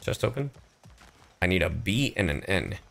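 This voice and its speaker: A man chatting casually on a gaming livestream, animated and profane, swinging between loud outbursts and low asides. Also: normal speed, vocal fry, slight background noise. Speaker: streamer and voice actor. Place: indoor livestream setup.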